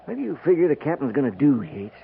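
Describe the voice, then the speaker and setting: An actor playing a resigned frontier soldier with a rural American accent, dry and laconic, in a 1950s radio drama. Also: male voice, on the high side, sing-song, fast talker, vocal fry. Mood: quiet, tense. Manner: laconic, wry. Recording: tinny, band-limited, noisy 1950s radio recording.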